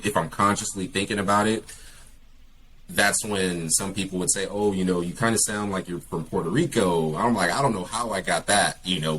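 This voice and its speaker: A man's voice: low-pitched, fairly bright tone, a little hiss or hum, rough recording. A young man from California, a guest English teacher, talking casually in an interview with many fillers and restarts.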